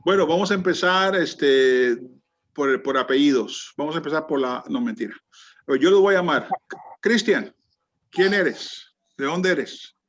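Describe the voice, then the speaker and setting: A man's voice: a little high, lively intonation, unhurried, a bit bright. A man teaching a Bible class over a video call, chatty, restarting his sentences a lot. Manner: chatty, many restarts and fillers. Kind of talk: online class, lecturing and calling on students. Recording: muffled lo-fi video call audio.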